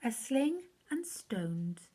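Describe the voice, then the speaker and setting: A woman voicing a brave, devout young shepherd boy from a children's rhyming story, in a measured, earnest, rhythmic way. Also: fairly high pitch, big pitch swings, warm tone, breathy edge, heavily acted. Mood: earnest, resolute.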